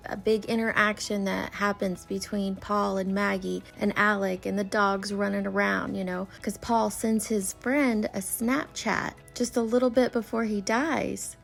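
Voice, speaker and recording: A woman's voice: medium pitch, creaky. A woman giving casual reaction commentary in a blunt, corrective way. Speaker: commentator reacting to another creator's video.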